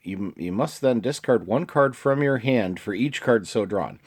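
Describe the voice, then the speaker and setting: A man's voice: on the low side, lively intonation, a little slow, slightly rough. Sniffly man casually reading game cards. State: sniffly from allergies. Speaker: video host. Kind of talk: casual card game showcase, reading card text aloud.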